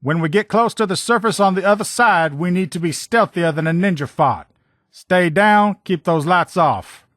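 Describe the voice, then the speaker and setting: A man voicing a gruff, loyal military lieutenant in a video game, with blunt, profane, folksy soldier talk. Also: sits high, lively intonation, normal speed, fairly bright tone, no echo, studio quality. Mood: briefing, commanding. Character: gruff, loyal military lieutenant in power armor, protective comrade. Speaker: voice actor in a game mod. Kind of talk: video game character dialogue lines.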